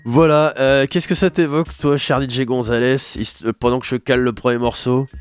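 A man hosting a radio music show, talking casually with a stuffy nose and a hoarse voice, rambling with many fillers. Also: medium pitch, fairly even intonation, brisk. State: congested and hoarse. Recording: radio studio with faint music underneath. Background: faint instrumental music.

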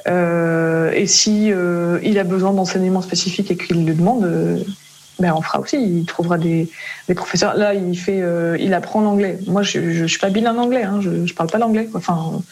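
A woman's voice: fairly low pitch, fairly even intonation, normal speed, darkish tone. A French woman being interviewed as a parent, explaining casually in colloquial speech that often trails off into tag words.

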